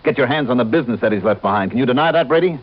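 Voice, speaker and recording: An actor playing a confident, wry insurance investigator in old radio detective style, brisk and assured. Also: male voice, mid-range voice, expressive, close to an everyday voice. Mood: accusing. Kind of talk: 1950s-60s radio detective acting and narration. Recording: dull old radio recording.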